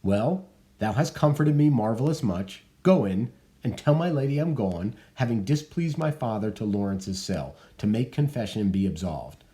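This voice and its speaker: A man's voice: lowish voice, expressive, moderate pace, mellow, close to an everyday voice. A man reading the part of a desperate teenage girl, pleading in earnest recitation. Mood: cold. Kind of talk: dramatic reading of a play.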